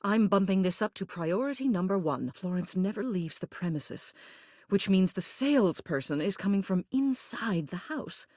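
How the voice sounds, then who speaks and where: A dignified lady mayor from a video game, acted by a woman, in a mid-pitched voice recorded very dry. She speaks formally and with authority, in a genteel, protective way, using polished character delivery. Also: lively intonation, moderate pace, warm tone, no echo, lightly acted. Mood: resolute, official. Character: dignified, protective woman mayor, an old living household object. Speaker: voice actor in a dating sim game.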